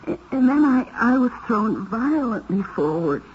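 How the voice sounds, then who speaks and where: A woman's voice: fairly high pitch, very animated. An actress playing a shaken, hesitant married woman under suspicion in a 1970s radio mystery. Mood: frightened, halting. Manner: hesitant, halting delivery. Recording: dull, hissy 1970s radio recording.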